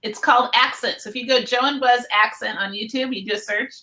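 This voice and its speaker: Podcast cohost lady, bright voice, chatty, laughs a lot. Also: a little high, fairly even intonation, brisk. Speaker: podcast co-host. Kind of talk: casual chat.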